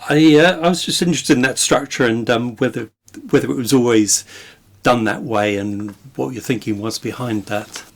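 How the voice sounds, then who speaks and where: A man's voice: mid-range voice, moderate pace, gravelly, few pauses. A man hosting a literary interview, putting questions in a hesitant, thoughtful, conversational way. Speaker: interviewer, podcast host.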